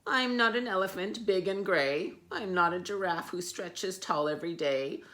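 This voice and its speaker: A woman's voice: fairly low pitch, moderate pace, a bit bright, voice pushed up, natural voice. A woman voicing a sad, lonely cow in a storybook read-aloud, in a plaintive, pleading voice. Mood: glum. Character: sad, lonely female cow seeking a home.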